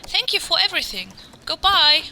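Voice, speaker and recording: A woman's voice: very high voice, big pitch swings, moderate pace, sharp tone, a little hiss or hum, exaggerated voice. A woman playing a wide-eyed, earnest and easily impressed astrology customer in a comedy podcast sketch. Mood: amazed.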